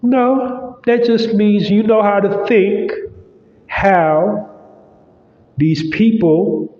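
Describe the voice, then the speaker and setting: Stuffy-nosed man rambling slowly, echoey room. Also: sits high, fairly even intonation. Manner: loose, rambling, with many fillers. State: congested, stuffy nose. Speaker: podcast host. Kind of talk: casual solo podcast monologue. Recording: roomy, long-echo, slightly dull sound.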